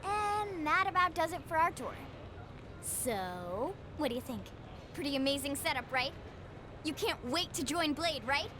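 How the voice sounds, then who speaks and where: A woman's voice: high-pitched, expressive, a little slow, background noise. An actress playing a perky, eager young woman guiding a tour in a video game, with a bubbly, playful, pushy manner. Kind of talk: video game cutscene acting.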